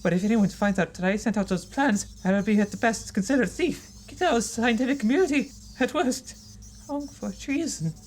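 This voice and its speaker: A man's voice: high-pitched, a little fast, slight background noise, lightly acted. A man playing a timid, anxious scientist in an amateur audio drama, with a hesitant, fretful manner.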